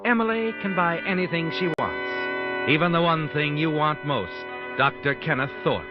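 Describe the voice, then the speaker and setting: A man's voice: on the high side, expressive, normal speed, lightly acted. Man acting an ominous old-time radio suspense narrator. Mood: ominous, knowing. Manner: intense, dramatic, addressing the character directly. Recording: muffled old radio recording, loud music.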